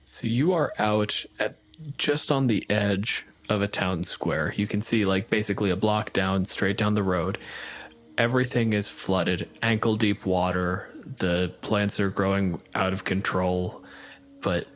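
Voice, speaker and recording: A man's voice: lowish voice, measured pace. A man running a tabletop game, describing a scene with dry, wry remarks. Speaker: game master.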